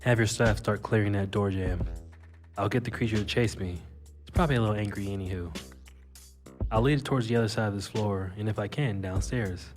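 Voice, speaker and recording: Low-voiced cocky cyberpunk hero, man acting. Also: fairly quick, long reverb tail, faint music behind, lightly acted. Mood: wry, defiant. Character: cocky wounded tailed infiltrator, wry cyberpunk action hero. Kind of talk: audio drama dialogue with first-person narration.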